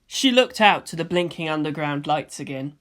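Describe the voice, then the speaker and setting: A man's voice: on the high side, expressive, normal speed, fairly bright tone. A man reading scripted fiction as the narrator.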